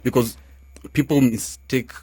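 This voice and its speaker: A man with a Kenyan accent, a guest in a radio studio, explaining branding in a measured way. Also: medium pitch, steady tone, a crowd in the background.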